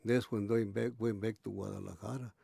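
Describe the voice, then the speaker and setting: Man in his 80s, gravelly, warm-toned, halting speech, dry close sound. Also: on the low side, steady tone, no echo. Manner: halting, many restarts and pauses. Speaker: interviewee. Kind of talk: oral history recollection.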